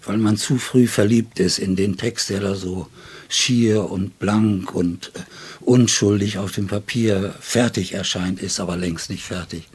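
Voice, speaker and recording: A man in his seventies from northern Germany, an interview guest telling stories in a reflective, deliberate, wry way. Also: lowish voice, steady tone, a little mellow, husky. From Friesland, northern Germany. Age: 70s. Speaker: poet and literary editor, interview guest. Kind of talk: reflective conversational storytelling. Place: indoors, in his home study.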